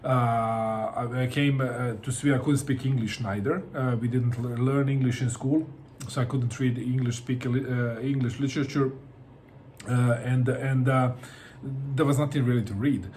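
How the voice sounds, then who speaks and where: A middle-aged man from Bosnia talks casually to camera in a mid-pitched voice. He hesitates often and repeats his words as he searches for them. A faint hiss runs behind him. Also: steady tone, moderate pace, a little mellow. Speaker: book vlogger.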